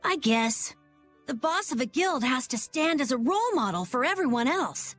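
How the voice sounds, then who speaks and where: A woman voicing an earnest, self-doubting young boy in an animated role-playing video game. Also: sits high, normal speed, exaggerated voice. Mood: unsure, bashful. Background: faint background music.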